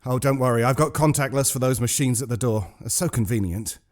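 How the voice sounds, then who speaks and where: A man's voice: medium pitch, fairly quick, natural voice. An actor playing a smug, flippant man in an audio drama, with a glib, breezy manner. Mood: glib, sarcastic. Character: smug, flippant, well-off man asking favours.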